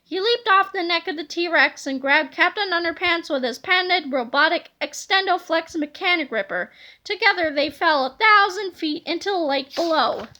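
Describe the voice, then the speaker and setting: A woman reading a children's storybook aloud in an expressive narrating style. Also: extremely high pitch, normal speed. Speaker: storybook reader. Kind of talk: animated read-aloud of a children's book.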